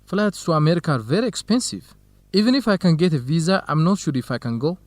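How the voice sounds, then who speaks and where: A man acting as a cautious, good-natured graduate student in a radio drama. His voice sits slightly high for a man and moves with lively intonation, in a dry studio sound. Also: quick pace, no echo, close to an everyday voice. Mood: curious, unsure. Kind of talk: scripted educational radio drama dialogue.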